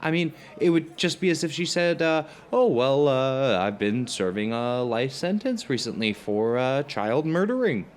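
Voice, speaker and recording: A man playing an anxious, self-deprecating dinner guest in an audio drama, talking in a halting, stammering, rambling way. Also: mid-range voice, lively intonation, lightly acted. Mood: self-pitying, wry. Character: anxious, self-deprecating New Yorker who loves comfort. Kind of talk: naturalistic audio drama dialogue.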